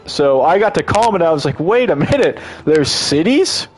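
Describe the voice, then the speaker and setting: A man with a slightly high voice, a little congested, chatting enthusiastically about a game he is playing. He rambles, circles back and restarts sentences as he goes. Faint game music plays underneath. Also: lively intonation, moderate pace. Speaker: streamer. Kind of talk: casual gameplay commentary.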